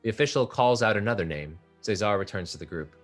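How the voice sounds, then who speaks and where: A man narrating the stage directions of a play at a table read, in a steady, measured reading. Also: fairly low pitch, soft background music.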